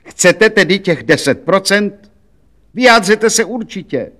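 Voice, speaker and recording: A man voicing a stern, impatient police official who talks loudly and officiously. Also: high-pitched, animated, voice pushed up. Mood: barking. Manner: loud, officious.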